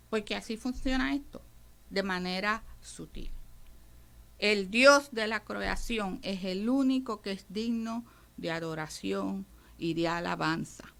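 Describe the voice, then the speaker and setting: A woman's voice: a little high, a bit bright. A woman pastor with a Puerto Rican accent, teaching emphatically on a radio devotional program and speaking straight to her listeners. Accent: Puerto Rican Spanish. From Puerto Rico. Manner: emphatic, addresses listeners directly as brother.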